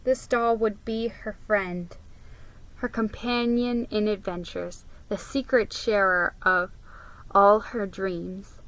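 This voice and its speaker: A woman's voice: medium pitch, steady tone, slow pace, a bit bright, low-quality recording. A woman giving a dramatic reading of a children's story, as a storybook reader.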